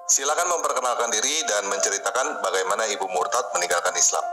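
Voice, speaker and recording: A man hosting a video, introducing a guest in a plain, steady presenter way. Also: fairly low pitch, fast talker. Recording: roomy echo with music.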